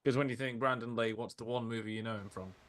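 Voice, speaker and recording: A man's voice: mid-range voice, steady tone, fast talker, a bit bright. A man chatting casually as a podcast panelist, with a wry, teasing way of talking. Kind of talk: casual film discussion chat.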